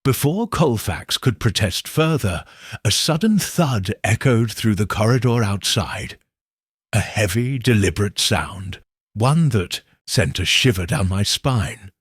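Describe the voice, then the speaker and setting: A man narrating a detective story in the first person with slow, expressive delivery. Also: lowish voice, breathy edge, no echo, studio quality. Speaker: audiobook narrator.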